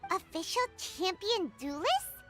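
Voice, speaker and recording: A woman voicing a tiny, childlike fairy companion in a video game, squeaky, excitable and blunt. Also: a little slow, exaggerated voice. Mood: curious, skeptical. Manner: exclamatory, speaks of self in third person. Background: prominent game music.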